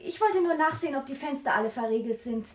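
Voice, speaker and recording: An actress playing a sweet, attentive young niece with a polite, coaxing way, in a German radio drama. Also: female voice, high voice, lively intonation, fast. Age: young adult.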